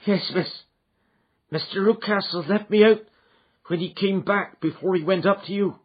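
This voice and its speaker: A man reading an audiobook voices a blunt, plainspoken housekeeper woman, with a husky tone. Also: sits high, moderate pace, muffled recording, pitched above the performer's own voice. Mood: earnest. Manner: blunt, earnest.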